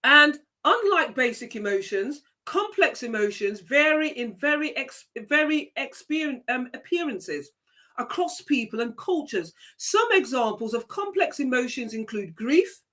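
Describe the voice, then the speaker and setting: Bright, emphatic woman host. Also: high voice, animated, measured pace. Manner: emphatic and preachy, repeats key phrases. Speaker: live show host. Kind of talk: live-stream motivational talk, reading viewer comments.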